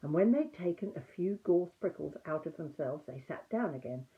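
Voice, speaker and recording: Phone-like audio, older woman, slightly low voice, animated pitch, children's storybook narration. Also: moderate pace. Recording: muffled, narrow phone-like audio.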